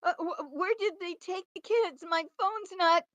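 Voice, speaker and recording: A woman's voice: very high-pitched, unhurried, muffled recording. A woman playing a frightened, pleading wife fleeing danger, in a screenplay table read. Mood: panicked.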